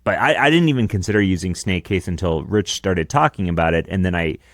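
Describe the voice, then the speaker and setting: A man in his thirties with a deep voice, co-hosting a podcast in casual chat from a small home studio on a close broadcast mic. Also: brisk, no echo. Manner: chatty, explaining his gear with casual asides. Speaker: podcast co-host. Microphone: close dynamic broadcast mic.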